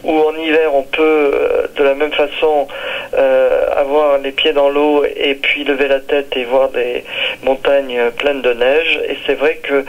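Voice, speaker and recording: A man from Nice in southern France, a visiting research scientist, describing his trip haltingly with many pauses and fillers. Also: medium pitch, lo-fi sound. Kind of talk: recorded interview testimony.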